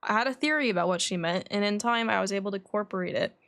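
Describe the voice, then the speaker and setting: A woman's voice: mid-range voice, fairly bright tone, natural voice. Woman reading as a composed, formal young male alchemist. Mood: reflective. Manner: measured, formal. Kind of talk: reading video game dialogue aloud.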